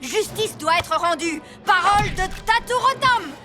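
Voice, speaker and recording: A woman's voice: extremely high pitch, animated, unhurried, music in the background. A woman voicing a self-righteous, boastful, clumsy young vigilante hero in a French cartoon dub, with a pompous, accusing way of talking.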